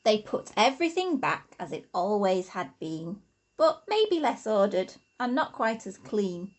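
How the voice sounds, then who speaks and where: A woman, an infant school teacher, reading a rhyming storybook expressively to children with deliberate, sing-song emphasis. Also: mid-range voice, sing-song.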